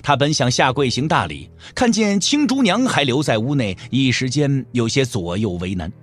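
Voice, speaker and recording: Very animated, husky male storyteller. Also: medium pitch, moderate pace, faint music behind. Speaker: audiobook narrator. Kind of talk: dramatic scripted storytelling narration.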